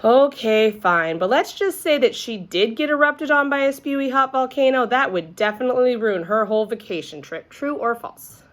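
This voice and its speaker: A needling little schoolgirl from a children's storybook, put on by a woman reading aloud. She hollers in a higher voice, contrary and argumentative, with heavy emphasis. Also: normal speed, hardly any pauses, a bit bright, close to an everyday voice.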